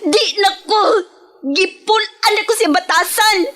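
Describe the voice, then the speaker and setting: A woman's voice: extremely high pitch, takes it slowly, fairly bright tone, exaggerated voice. A woman acting in a radio drama as a distraught, defiant young wife, tearful and pleading. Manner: tearful, pleading, emotionally heightened. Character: distraught, defiant young wife leaving her jealous husband.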